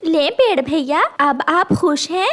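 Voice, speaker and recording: A woman voicing a kind, helpful little mother bird in a children's cartoon, polite and gentle. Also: sits high, expressive, slow pace. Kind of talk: Hindi children's cartoon voice acting.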